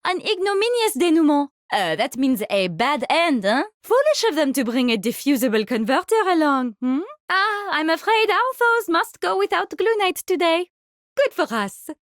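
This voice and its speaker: A woman's voice: sits high, very animated, moderate pace, dry recording, clean studio sound. An actress voicing a polite yet sinister woman virologist in a video game, with a French accent and a theatrical, articulate delivery. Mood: wry, disappointed. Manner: theatrical, articulate, mock-courteous. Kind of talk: video game character voice lines.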